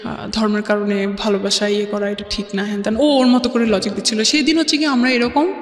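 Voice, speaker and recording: A woman's voice: medium pitch, fairly even intonation, brisk. A young adult woman with a Bangladeshi accent tells a personal story conversationally, mixing in English words. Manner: mixes English words into her talk. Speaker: guest telling her life story. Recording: roomy reverberant studio, music underneath.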